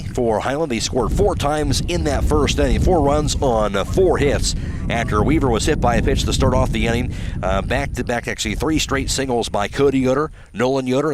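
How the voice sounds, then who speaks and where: Male American sportscaster over clear background noise. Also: mid-range voice. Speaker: radio sportscaster. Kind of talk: post-game baseball play-by-play recap with sponsor reads.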